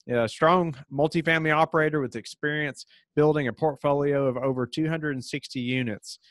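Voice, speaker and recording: A man's voice: fairly high pitch, fairly even intonation, fairly quick, no room sound. Man, thirties, podcast host, many "you know" fillers, dry close sound. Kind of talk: interview hosting. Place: home office.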